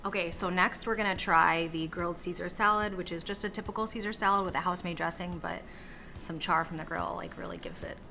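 A woman's voice: fairly low pitch, fairly even intonation, a little fast, creaky, pushed and bright. A young woman hosting a food show, describing dishes with enthusiasm as she tastes them. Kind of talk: upbeat food review chat. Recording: background music under voice.

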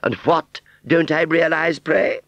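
A man's voice: a little high, fairly even intonation, slow pace, fairly bright tone, dry recording, voice pushed up. A man voicing a vain, haughty female fairground doll in a storybook, with an affectedly genteel but ungrammatical way of speaking. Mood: smug, condescending.

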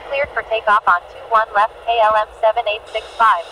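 A woman's voice: fairly high pitch, fairly even intonation, brisk, bright, forceful tone, rough recording. A woman giving formal, procedural air traffic radio calls in clipped, scripted phraseology. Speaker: air traffic controller and pilot radio voice in a game. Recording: faint radio background noise.

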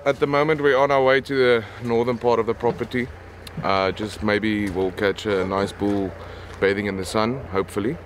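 A man's voice: on the low side, steady tone, normal speed, few pauses, slight background noise. A man talking casually to the camera outdoors beside a truck, easygoing and repeating words.

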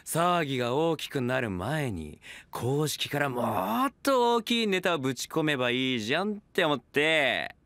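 Flippant, playful boss for anime drama CD; bright, close dry sound. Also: male voice, fairly high pitch, big pitch swings, moderate pace, few pauses, no echo. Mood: gleeful, scheming. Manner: playful, breezy, teasing. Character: flippant, gleeful male talent agency boss. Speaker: voice actor. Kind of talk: anime-style audio drama acting.

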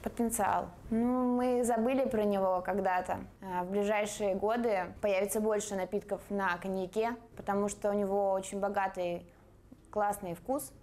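A woman's voice: mid-range voice, steady tone, normal speed, a little mellow. A woman from Sochi, a bartender contestant, confidently describing her dessert to camera. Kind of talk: interview to camera.

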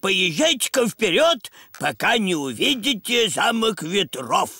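Elderly grandpa animal for cartoon: gravelly, bright, slow, cheerful and helpful. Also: male voice, high voice, sing-song, no echo, studio quality, heavily acted. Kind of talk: dubbed preschool cartoon acting.

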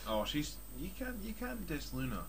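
A man's voice: fairly high pitch, very animated, moderate pace. A Scottish man in casual comic banter, making mock-indignant and sometimes sweary comments. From Scotland. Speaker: co-host commenting on a fan fiction reading. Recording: noisy home recording.

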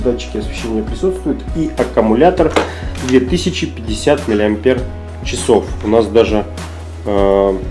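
A man with a slightly hoarse, husky voice from a cold narrates an unboxing in a chatty way, thinking aloud as he goes. His pitch sits in the middle range. Music plays clearly underneath the recording. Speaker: tech reviewer. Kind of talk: casual unboxing commentary. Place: office desk indoors.

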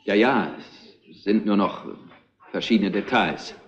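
Retro radio play: polite, persistent detective, male actor, animated low pitch, deliberate, muffled recording. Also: slight background noise, natural voice. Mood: measured, probing. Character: persistent, measured male police investigator. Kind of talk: retro crime radio drama acting. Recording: dull lo-fi old radio play.

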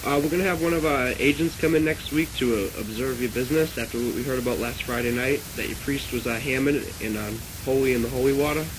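A man prank calling as a stiff, solemn government agent, hesitant and deadpan, on a noisy phone call recording. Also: medium pitch, quick pace, few pauses, close to an everyday voice. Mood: deadpan, official. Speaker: prank caller.

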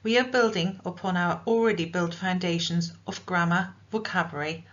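A woman who leads a school languages department, presenting course information in a clear, explanatory way. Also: fairly low pitch, steady tone, normal speed, highs cut off. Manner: clear, explanatory teacher delivery. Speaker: subject leader for languages.

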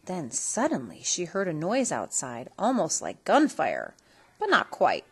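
A woman reading a children's story aloud in an expressive storytelling style. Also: fairly low pitch, unhurried, husky. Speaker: storybook reader.

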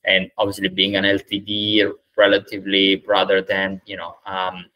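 A man in his thirties from Italy with an Italian accent, answering interview questions over a video call in a measured way, with many ums and uhs. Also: low voice, monotone, bright, forceful tone, rough recording. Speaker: startup founder, guest. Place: indoors, plain room. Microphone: video call webcam.

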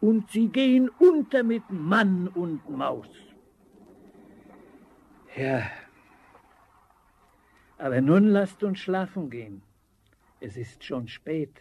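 An actor playing a knowing teller of an ominous local legend in a storybook radio play, dramatic and emphatic. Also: male voice, sits high, expressive, slow pace, long pauses, breathy edge, lo-fi sound, full character voice.

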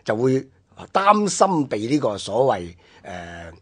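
A man's voice: medium pitch, big pitch swings, slow, slightly rough. A man with a Hong Kong Cantonese accent explaining things conversationally, as a commentator on a radio history programme.

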